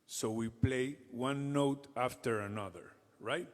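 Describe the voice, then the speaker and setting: A man's voice: medium pitch, takes it slowly, slight background noise. Man teaching rhythm in an echoey hall. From Venezuela. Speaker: percussion teacher, guest performer. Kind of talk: explaining a rhythm to children.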